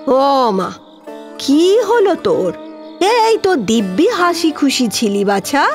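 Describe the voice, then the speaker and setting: A salty old Bengali village auntie, slow-paced. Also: female voice, high voice, sing-song, a little mellow, exaggerated voice. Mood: concerned. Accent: rural West Bengal. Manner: scolding, exclaiming, drawn-out folksy delivery. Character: elderly widowed village aunt, salty-tongued but affectionate. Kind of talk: Bengali audio drama acting. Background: background music.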